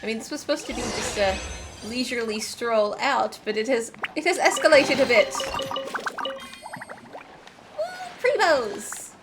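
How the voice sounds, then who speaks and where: A woman's voice: on the high side, lively intonation, measured pace, long pauses, background noise. A woman gaming streamer giving casual, playful, chatty commentary as she plays. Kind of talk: casual live game commentary. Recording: game music clearly behind.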